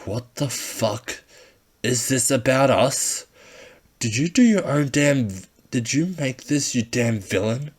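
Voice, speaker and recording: A menacing schoolboy ringleader, acted by a male reader, loud and raised in pitch. He spits threats and orders as the easily rattled bully of a read-aloud story. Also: lively intonation, slow pace, slightly rough. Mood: alarmed, angry. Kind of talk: amateur fan fiction audiobook character voice.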